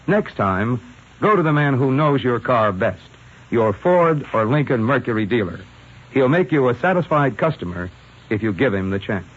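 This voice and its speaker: A man announcing a scripted 1940s radio commercial in a husky voice. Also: medium pitch, big pitch swings, moderate pace. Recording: muffled vintage radio recording.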